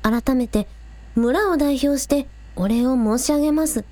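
A spirited young village idol, played by a woman in a radio drama. Her voice is very high and recorded dry. She is earnest and polite, turning breezy among friends. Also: a little slow, mellow, no room sound.

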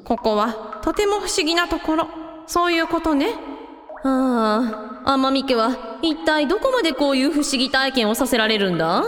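A woman playing expressive, casual-talking members of a village family in a Japanese radio drama. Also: sits high, moderate pace. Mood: bemused. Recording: long reverb with music behind.

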